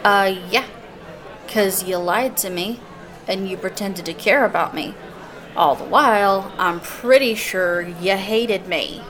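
A woman's voice: medium pitch, slow, fairly bright tone, a faint crowd. An actress playing a brash, defiant, foul-mouthed woman who likes a drink, in an audio drama. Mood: drunk, defiant. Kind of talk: modern audio drama acting.

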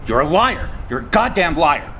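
A man's voice: on the high side, big pitch swings, normal speed, gravelly, very noisy. A man playing a commanding, hot-tempered federal agent in a radio drama, blunt and authoritative. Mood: angry denial. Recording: music under the voice.

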